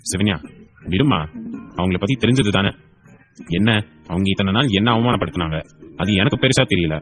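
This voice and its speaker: A man voicing a caring, earnest middle-aged father and father-in-law in an audio drama, gentle and fatherly. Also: on the low side, fairly quick, faint music behind, natural voice. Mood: hurt, tender. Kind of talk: Tamil audio story acting.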